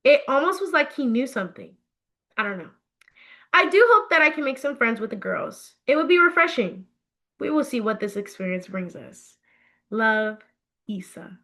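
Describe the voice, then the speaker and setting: A woman voicing a chatty, self-doubting teenage girl confiding in her diary, in an expressive story reading. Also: on the high side, normal speed, full character voice. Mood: confiding, unsure. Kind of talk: first-person story reading.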